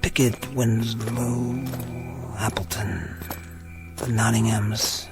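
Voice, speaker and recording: Gravelly american cowboy, slow and proud but kinda uneasy, old tv soundtrack w music behind. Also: male voice, fairly low pitch, steady tone. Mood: uneasy bravado. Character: proud, wary Old West gunman, secretly uneasy. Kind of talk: old television western drama acting. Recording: vintage TV soundtrack with music underneath.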